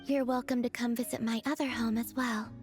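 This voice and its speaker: Woman as a soft, high-voiced serene moon goddess. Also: music in the background, close to an everyday voice. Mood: wistful, tender. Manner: calm, wistful, unhurried, formal. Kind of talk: video game voice acting.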